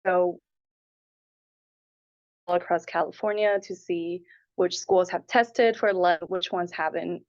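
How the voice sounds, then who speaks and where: Young woman with a lowish voice, muffled recording. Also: steady tone, slow, long pauses. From Taipei and Hong Kong. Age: 20s. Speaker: interviewee, applicant. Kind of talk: answering interview questions. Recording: dull, lo-fi audio.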